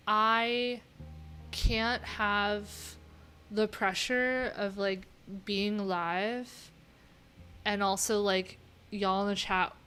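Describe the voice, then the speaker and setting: A woman's voice: medium pitch, pushed and bright, clean tone. A woman live streaming, chatting informally and thinking aloud in a rambling, unfiltered way. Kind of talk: casual live tech stream commentary.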